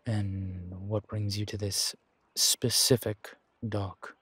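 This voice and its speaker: A man playing a menacing, wry pirate captain in a roleplay audio, speaking in a taunting, deliberate drawl with dramatic pauses. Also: fairly low pitch, little pitch movement, a bit bright, husky, no echo, heavily acted. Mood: suspicious, taunting. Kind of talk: scripted roleplay audio acting.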